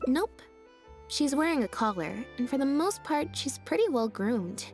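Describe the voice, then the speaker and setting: A woman voicing a laid-back, deadpan young stage magician's assistant in a video game, speaking in an unhurried, matter-of-fact way. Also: high-pitched. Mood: thoughtful. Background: game background music.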